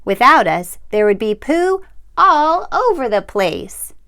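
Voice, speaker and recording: Storybook dung beetle, male, small, proud and earnest, eager explainer, very animated delivery by a female reader. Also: high-pitched, slow pace, voice pushed up, full character voice. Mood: earnest explaining. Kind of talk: children's storybook read-aloud.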